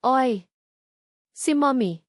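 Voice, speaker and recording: A woman's voice: sits high, lively intonation, very slow, no room sound, voice pushed up, exaggerated voice. A polite, wide-eyed little boy from a narrated story, acted out by a woman. He sounds shy and childlike, in a dry recording with little room sound. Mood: excited.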